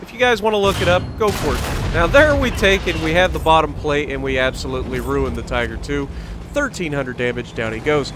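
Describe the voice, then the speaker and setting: American male gaming host, fairly high voice, casual rambling storyteller, clearly noisy recording. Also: brisk. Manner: rambling, conversational. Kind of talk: casual storytelling over gameplay commentary.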